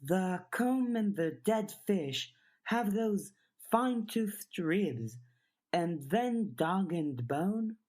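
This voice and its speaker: Woman voicing an eager, questioning, slow-talking girl. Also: mid-range voice, lively intonation, darkish tone, pitched above the performer's own voice. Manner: chatty, questioning, tentative. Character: eager, earnest girl who loves puzzles, overthinking. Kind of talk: audiobook character dialogue.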